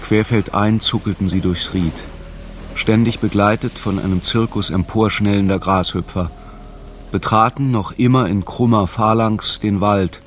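A man narrates literary prose for a radio drama. His voice is on the low side, and there is faint noise in the background of the recording. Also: steady tone, measured pace.

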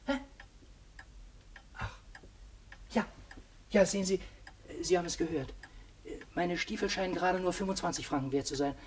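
A man's voice: sits high, moderate pace, long pauses, close to an everyday voice. An eccentric old male junk dealer, played by an actor in a radio play. He is fussy, cranky and easily agitated, repeating his words as he goes. A little noise sits behind the old recording. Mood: indignant, bitter. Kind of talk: German radio play acting.

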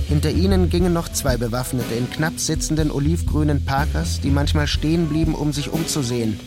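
A man narrating a literary radio play in a measured, deliberate manner. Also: a little high.

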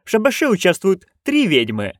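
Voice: mid-range voice, huge pitch swings, fairly quick, no echo, clean studio sound, pitched above the performer's own voice. A storyteller voicing an earnest, prim young witch woman who speaks properly and insistently.

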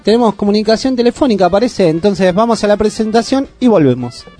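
A man with an Argentine accent hosting a casual community radio show, chatty and full of "eh" pauses. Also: high-pitched, expressive, over loud music. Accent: Argentine Spanish. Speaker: radio host. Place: radio studio.

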